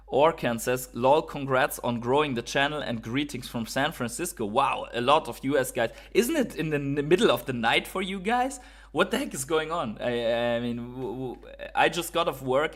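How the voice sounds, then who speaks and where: A man's voice: medium pitch, fairly bright tone. Male streamer, native German speaker with German accent, mid-range voice, enthusiastic and informal live commentary. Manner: enthusiastic, informal, reading out and answering viewer messages. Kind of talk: casual live-stream chat and market commentary.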